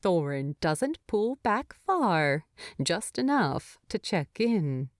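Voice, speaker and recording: A woman narrating a story in an expressive, tender, unhurried way. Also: on the low side, no room sound, clean studio sound. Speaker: fan fiction narrator.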